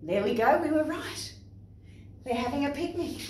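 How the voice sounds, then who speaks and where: A woman with an Australian accent presenting to young children and parents in an expressive, instructive way. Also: high-pitched, moderate pace. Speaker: playgroup facilitator and presenter. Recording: echoey room, distant microphone.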